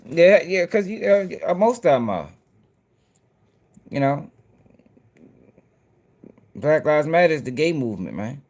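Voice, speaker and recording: A man's voice: fairly high pitch, big pitch swings, measured pace, pauses often, darkish tone. A man hosting casual reaction commentary, talking bluntly and with profanity straight to his viewers. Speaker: commentary channel host.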